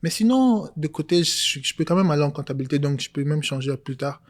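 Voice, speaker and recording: A man in his twenties from Congo, talking casually as an interview guest, relaxed and hesitant with many fillers. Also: on the high side, a little fast. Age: 20s.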